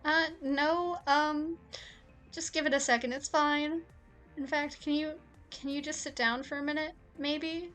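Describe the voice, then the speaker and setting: A woman voicing a nervous, lovesick man in an audio story, with a halting, stammering, anxious way of talking. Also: a little high, steady tone, faint music behind, close to an everyday voice.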